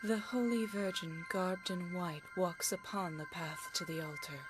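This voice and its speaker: An actress playing a grave elven fortune teller in a video game, speaking in a stately, portentous and formal manner. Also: female voice, lowish voice, fairly even intonation, unhurried, over music, natural voice. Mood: trancelike, ominous. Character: solemn elven fortune teller, grave adult woman prophetess. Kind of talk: dramatic game cutscene acting.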